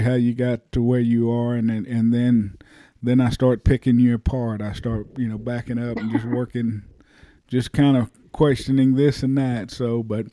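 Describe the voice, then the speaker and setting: A man's voice: mid-range voice, dry recording. A man hosting a podcast, chatting casually and loosely with many fillers and restarts. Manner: relaxed, hesitant with fillers and repeats. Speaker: podcast host. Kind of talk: casual interview.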